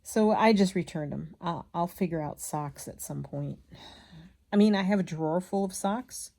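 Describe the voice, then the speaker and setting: A woman chatting casually as she hand stitches, rambling and absent-minded, muttering to herself, with a slightly gravelly voice. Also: fairly low pitch, moderate pace, warm tone. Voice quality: slightly gravelly from a frog in the throat. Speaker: craft vlogger.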